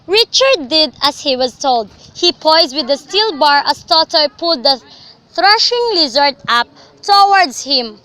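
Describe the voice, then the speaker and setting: High, bright woman narrating a radio drama. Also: sing-song, unhurried, low-quality recording.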